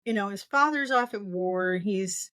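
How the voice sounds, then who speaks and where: Woman, medium pitch, dry sound, casual book discussion, rambling, thinking aloud. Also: a little slow, fairly bright tone, no room sound. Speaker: book podcast co-host.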